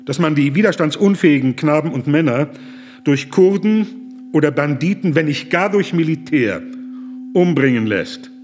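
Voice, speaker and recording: An actor playing an earnest, indignant German humanitarian, speaking formally, deliberately and with moral urgency in a radio play. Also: male voice, fairly high pitch, expressive, a little mellow, soft background music, close to an everyday voice. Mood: grave indignation.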